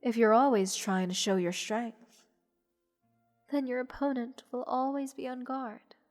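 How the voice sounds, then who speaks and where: Woman voicing a haughty goddess, unhurried, softly breathy, bored and teasing, audio drama, cavernous reverb, faint music. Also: on the high side, steady tone, natural voice. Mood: condescending, analytical. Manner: languid, teasing, superior, softly breathy delivery. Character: haughty, bored, condescending goddess of the stars toying with mortals. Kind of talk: scripted roleplay audio drama. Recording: long reverb, music underneath. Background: orchestral music.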